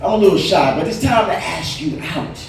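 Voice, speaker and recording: A man's voice: sits high, lively intonation, brisk, vocal fry, distant mic, slight background noise. A man in his late forties doing a stand-up comedy set in a bar, telling stories in a laid-back way with punchlines. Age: 40s. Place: bar stage. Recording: roomy bar, voice from afar.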